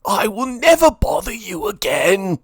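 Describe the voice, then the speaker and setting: A man acts out a greedy, pompous lord from a storybook. His husky voice strains and swoops dramatically, with slow, demanding phrases. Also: medium pitch, huge pitch swings, no echo, clean studio sound, full character voice. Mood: desperate. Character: greedy, blustering male lord, storybook villain.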